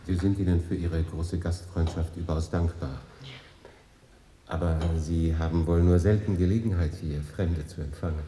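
A man acting in a radio drama as a calm, dryly ironic English consul, measured and polite in his delivery. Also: sits low, lightly acted. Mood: polite, curious. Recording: echoey room, faint noise.

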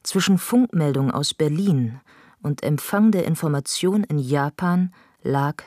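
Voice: mid-range voice, a little slow, darkish tone, slight background noise. A narrator reading scripted literary documentary text in a sober, factual manner.

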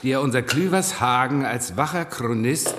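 Actor as formal funeral speaker, radio play, echoey hall, noticeable hiss. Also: male voice, mid-range voice, moderate pace, natural voice. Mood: solemn. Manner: solemn, formal. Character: male speaker giving a funeral eulogy. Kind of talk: radio drama acting.

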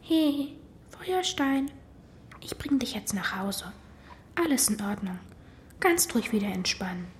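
Headstrong young girl: high pitch, earnest, defensive, audio drama. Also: sing-song, unhurried, long pauses, a little hiss or hum. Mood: gentle. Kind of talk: German audio drama acting.

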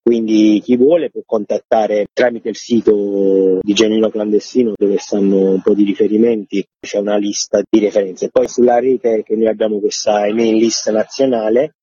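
A man's voice: on the low side, level tone, normal speed, few pauses, dark tone, slightly rough, no echo. A man giving informal, explanatory interview answers as an organizer, talking in long, loosely linked sentences. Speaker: interviewed organizer of a farmers' gathering. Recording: dull, muffled lo-fi radio sound.

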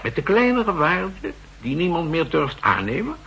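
An actor playing a fussy, insistent central-bank expert who explains pedantically, in 1960s radio drama style. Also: male voice, on the high side, big pitch swings, normal speed, vocal fry, sharp tone, husky, full character voice. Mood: urgent, emphatic. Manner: pedantic, emphatic, hesitating and repeating himself. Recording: noisy vintage 1960s radio recording.

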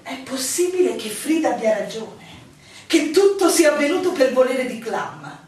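Radio play: haughty, protective innkeeper woman, admonishing, higher-pitched, very roomy sound. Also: very animated, moderate pace, darkish tone. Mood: stern, warning. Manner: imperious, admonishing, formal. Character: stern, condescending innkeeper woman, protective and proud. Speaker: stage actor in a radio play. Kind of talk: theatrical radio drama dialogue. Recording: very echoey, distant, music behind.

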